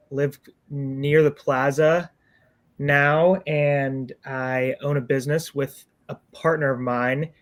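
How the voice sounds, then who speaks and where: Guest: young man from Kansas, mid-pitched, casual and plain-spoken. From Kansas, USA. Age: 20s. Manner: casual, with filler like "um" and "like". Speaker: podcast guest. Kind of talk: casual chat.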